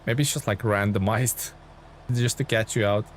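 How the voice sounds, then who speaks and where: A man's voice: on the low side, moderate pace. A man from Lithuania, chatting in a friendly, informal way as he thinks aloud on a gaming livestream. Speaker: video game livestreamer. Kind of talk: casual gaming stream chat and commentary. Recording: clear vehicle and engine noise behind. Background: truck game sounds.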